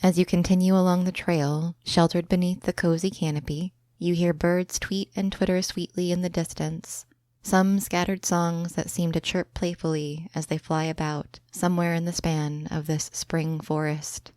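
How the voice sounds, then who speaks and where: Soft-spoken woman guiding a meditation. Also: lowish voice, level tone, dry recording. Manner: slow, soft and soothing. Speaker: meditation guide. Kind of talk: scripted guided imagery narration.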